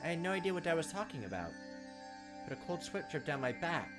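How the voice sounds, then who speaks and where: Animated man narrating over background music. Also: a little high, fast talker. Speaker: gaming video host. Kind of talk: reading visual novel narration aloud with asides.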